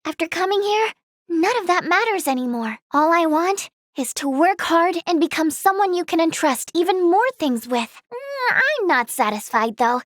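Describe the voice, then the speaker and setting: A woman playing an eager, kind-hearted young female healer in a fantasy video game, earnest, expressive and girlish in manner. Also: very high voice, moderate pace, dry recording, clean studio sound. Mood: wistful, tender. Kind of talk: video game character acting.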